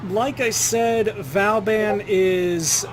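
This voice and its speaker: A young man, slightly hoarse, giving loose casual commentary over his gameplay, with some swearing. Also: sits high, steady tone, drawn-out words, noisy background. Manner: loose, profane trash talk at enemies. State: slightly hoarse, itchy throat. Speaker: gaming channel host.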